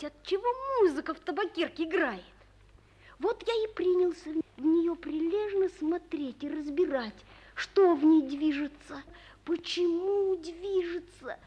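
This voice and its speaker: A woman playing a curious, polite, excitable little boy in a 1940s radio play. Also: very high voice, unhurried. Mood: earnest.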